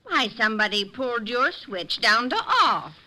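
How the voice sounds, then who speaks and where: A woman's voice: fairly high pitch, big pitch swings, moderate pace, pushed and bright, exaggerated voice. An actress playing a helpful, folksy neighbor woman in a 1950s radio drama, chatty and plain. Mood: helpful, puzzled.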